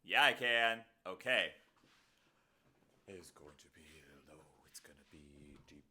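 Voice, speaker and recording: A man's voice: mid-range voice, animated, measured pace, bright, forceful tone. A man streaming live, muttering to himself and joking at his own expense in casual chat at a desk microphone.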